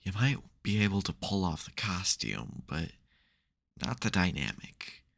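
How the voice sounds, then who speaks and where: A man playing an exasperated but secretly caring supervillain in an intimate ASMR audio roleplay, wry and gravelly. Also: sits low, very animated, a little slow, fairly bright tone, heavily acted.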